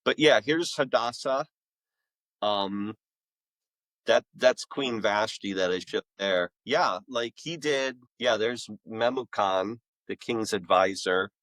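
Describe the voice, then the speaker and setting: A man talking casually as an author guest in a podcast interview, with an informal, halting, rambling delivery. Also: fairly low pitch, fairly bright tone, dry recording, poor audio.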